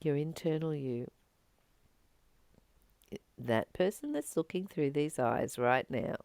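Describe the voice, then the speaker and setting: A woman speaking as a spiritual teacher, reflecting informally on a reading in an earnest, expressive way with emphatic repetition. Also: low voice, takes it slowly, no echo. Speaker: spiritual teacher and channel host.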